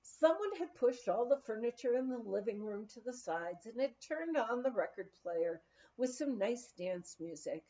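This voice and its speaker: Woman reading an audiobook memoir, soft mellow tone, really animated pitch, kinda dull lo-fi sound. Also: normal speed. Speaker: audiobook reader. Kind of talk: expressive memoir reading, storytelling.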